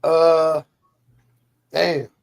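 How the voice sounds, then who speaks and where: A man in his forties co-hosting a podcast, talking loudly and profanely with plenty of emphasis. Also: on the high side, little pitch movement, drawn-out words, gravelly. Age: 40s. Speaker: podcast co-host. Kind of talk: casual chat.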